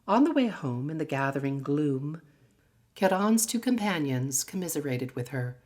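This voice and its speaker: Low-voiced woman reading a story. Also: very animated, normal speed, a little mellow. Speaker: story reader. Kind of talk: scripted literary narration.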